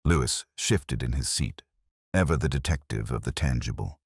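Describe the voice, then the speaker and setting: Very deep-voiced man narrating a mystery. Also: slightly rough, no echo, clean studio sound. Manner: measured, reflective, literary. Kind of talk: scripted first-person detective narration.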